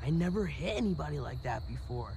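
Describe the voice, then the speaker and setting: An actor playing an awkward, tipsy, nerdy young male student with a halting, self-deprecating manner in a video game. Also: on the high side, lively intonation, dark tone, slight background noise. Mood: sheepish.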